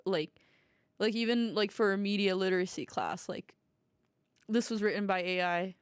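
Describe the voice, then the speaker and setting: A woman's voice: medium pitch, moderate pace, clean tone, no room sound, studio quality. A woman in her thirties hosting a casual podcast, talking in a chatty, rambling way with lots of "like" and easy giggles. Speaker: podcast host.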